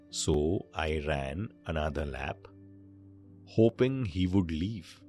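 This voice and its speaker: A man narrating a scripted bedtime story in short, measured phrases with pauses between them. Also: low voice.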